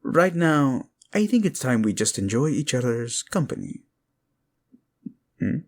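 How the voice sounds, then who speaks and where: An actor playing a protective, lovesick king in a romantic audio drama, speaking tenderly and haltingly with a Spanish accent. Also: mid-range voice, very animated. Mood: playful, tender. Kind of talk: intimate romantic audio drama acting addressed to listener.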